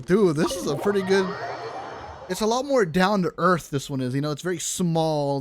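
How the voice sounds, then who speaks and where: A man livestreaming a game, chatting informally and rambling to his chat in slangy commentary. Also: fairly high pitch. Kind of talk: casual live commentary to chat. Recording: game music behind the voice. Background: video game music.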